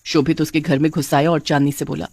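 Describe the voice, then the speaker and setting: A woman's voice: low-pitched, flat delivery, quick pace, darkish tone, no room sound. A woman narrator reading a scripted cartoon story.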